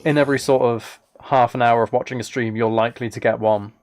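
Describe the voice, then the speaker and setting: A man's voice: mid-range voice, fairly even intonation, fast talker, a little mellow. A man live streaming a game, rambling and thinking aloud as he chats casually with viewers. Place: indoors at a computer, keyboard clicks behind.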